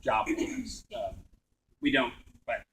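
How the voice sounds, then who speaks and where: A man's voice: takes it slowly, bright, forceful tone, poor audio. A middle-aged man, a voice actor guest, chatting at a convention panel in a joking, self-mocking way and laughing often.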